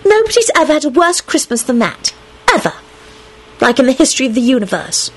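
A woman's voice: sits high, sing-song, fairly quick, voice pushed up. A woman voicing a sulky, scornful young woman, blunt and given to exaggeration, in storybook dialogue. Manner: sullen, exaggerating. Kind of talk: audiobook-style character dialogue.

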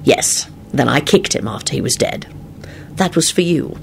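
A woman's voice: deep voice, lively intonation, moderate pace, vocal fry, a little hiss or hum, exaggerated voice. A woman voicing a mild, dry-humoured, sheepish man in a storybook, with an understated, mumbling delivery. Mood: deadpan, satisfied.

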